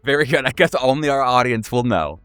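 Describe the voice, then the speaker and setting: A man's voice: medium pitch, expressive, a little fast, fairly bright tone, clean tone, no room sound. A man game mastering a horror tabletop game in casual, improvised narration, joking and swearing freely. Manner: profane, joking.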